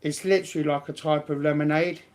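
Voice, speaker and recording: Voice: medium pitch, fairly even intonation, fast, darkish tone. A speaker in informal, casual chat, loose and sweary, often repeating phrases.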